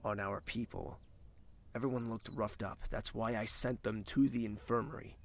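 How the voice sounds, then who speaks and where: A man playing a brooding, commanding prince in an audio drama, with a measured, terse and guarded delivery. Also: on the low side, steady tone, slight background noise, natural voice. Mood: stern, probing.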